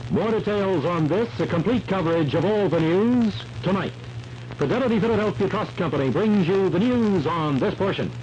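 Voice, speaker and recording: A man's voice: high voice, normal speed, darkish tone. An American man doing scripted announcing on 1950s radio. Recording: muffled, noisy 1950s radio recording.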